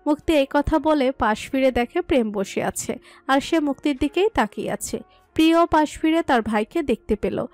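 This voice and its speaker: A woman's voice: sits high, normal speed, a little mellow, dry recording, faint music behind. A woman reading scripted narration for a romantic story.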